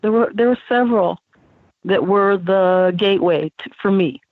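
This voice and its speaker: A woman's voice: mid-range voice, moderate pace, no echo. A woman phoning in to a radio show as a caller, chatting casually in an effusive, giggly way. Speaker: phone-in caller. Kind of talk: casual, admiring chat. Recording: tinny narrow phone line.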